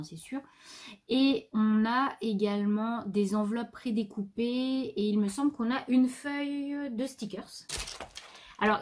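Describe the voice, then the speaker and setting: A woman's voice: a little high, darkish tone. A woman vlogger chatting casually as she shows off her new craft supplies at home, rambling a little and breaking off to talk to her pet. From France. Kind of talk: casual show-and-tell haul. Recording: faint background noise.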